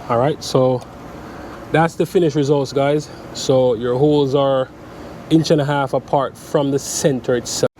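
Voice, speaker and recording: A man's voice: mid-range voice, unhurried. A man in his thirties with a stuffy cold, casually explaining electrical prep work step by step, recorded outdoors on a sunny dock with a chest-mounted camera. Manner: relaxed, repeats "so" and "guys" often, explains step by step. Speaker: electrician vlogger. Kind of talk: casual how-to vlog. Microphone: chest-mounted action camera. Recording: outdoor noise with traffic and air conditioning.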